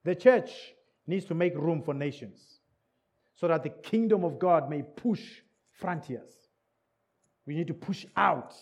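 Non-native english preacher guy, high voice swinging all over, keeps asking the crowd if they're with him. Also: takes it slowly. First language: not English. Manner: lively, checks in with listeners with repeated questions; repeats key words for emphasis. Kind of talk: sermon. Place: church service in England.

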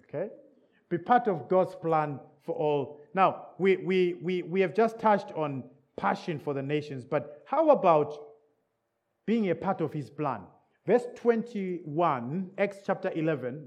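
Animated preacher man, high lively voice. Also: highs cut off. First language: not English. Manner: lively, checks in with listeners with repeated questions; repeats key words for emphasis. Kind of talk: sermon. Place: church service in England.

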